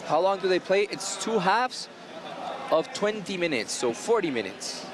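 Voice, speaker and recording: Lively, upbeat male co-commentator. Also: high voice, normal speed. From Mexico. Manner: upbeat and enthusiastic, explaining the rules. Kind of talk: colour commentary and viewer questions. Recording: loud crowd and stadium noise.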